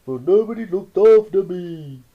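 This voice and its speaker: A reader doing a slow, mumbling starving anteater. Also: mid-range voice, expressive, narrow, tinny audio, pitched above the performer's own voice. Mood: pleading, hungry. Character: starving anteater, slow and pleading, later sly.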